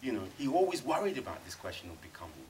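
A man's voice: mid-range voice, wildly swooping pitch, rapid-fire, a little mellow, slight background noise. A middle-aged man on a panel in a cinema, speaking thoughtfully and emphatically, often restarting his sentences. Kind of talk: panel discussion. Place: cinema screening room with audience.

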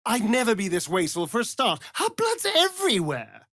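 An actor playing a vain, flirtatious, sardonic male vampire in a video game, with an arch, theatrical, breathy delivery. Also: high voice, wildly swooping pitch, brisk, sharp tone, no echo, studio quality, full character voice. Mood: indignant. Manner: theatrical, arch, drawling, swinging into breathy murmurs. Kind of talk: dramatic video game voice acting.

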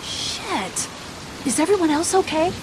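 High, urgent, resolute young woman. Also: very animated, unhurried, exaggerated voice. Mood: worried resolute. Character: resolute, worried young woman ready to fight. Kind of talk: dramatic video game acting. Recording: clear hiss and rain noise.

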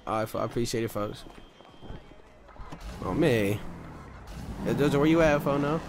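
A man's voice: medium pitch, normal speed, noisy background. A young American man bantering in a roleplay game, with slangy, profane, confrontational trash talk. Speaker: gamer streaming a roleplay game. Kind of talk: casual in-character game banter.

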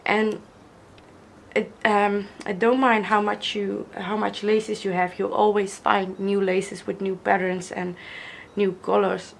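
Young woman, slow and hoarse, gravelly, gushing over gifts; camera mic at a table, faint room noise. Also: mid-range voice, flat delivery. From Netherlands. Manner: gushing, slow, admiring, repeats praise. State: hoarse from illness. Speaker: crafter showing gifts. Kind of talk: casual unboxing vlog. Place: indoors at a wooden table.

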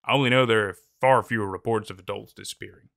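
A man doing a gruff weary old sea captain. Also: fairly low pitch, steady tone, fast talker, fairly bright tone, no room sound, studio quality.

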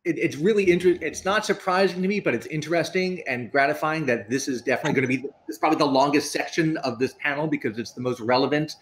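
A man giving casual opinions as a panelist on a video call, somewhat halting as he talks. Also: a little high. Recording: steady background noise, video call.

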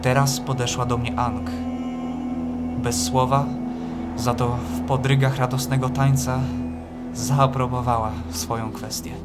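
An actor playing a solemn poet-narrator in an audio drama, reciting verse in a measured, reflective way. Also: male voice, mid-range voice, level tone, lightly acted. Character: solemn male poet-narrator writing verse among mythic deities. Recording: very echoey hall reverb, loud music.

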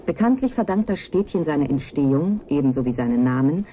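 An actress playing a sober news announcer, reading a bulletin in a formal, precise, factual manner in a radio play. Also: female voice, low-pitched, lively intonation, brisk, mellow, slight background noise, poor audio. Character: sober female news announcer reporting a disaster.

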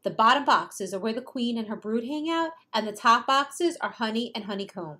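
A young woman hosting a travel show, narrating with bright enthusiasm. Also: on the high side, expressive, normal speed. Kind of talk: upbeat presenter narration. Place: outdoors on a farm.

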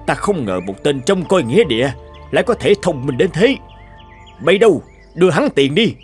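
A man's voice: fairly high pitch, big pitch swings, moderate pace, husky, full character voice. An actor playing an imperious, bullying cartoon king who scolds and threatens haughtily. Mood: commanding. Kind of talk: dubbed cartoon fairy tale acting. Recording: music behind the voice.

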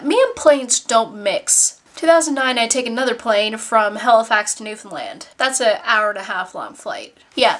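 Casting: Canadian woman, twenties, fairly high voice, casual vlog storyteller, sardonic and self-mocking. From Nova Scotia, Canada. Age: 20s. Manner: animated, self-deprecating, sardonic. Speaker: vlogger. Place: small bedroom, indoors.

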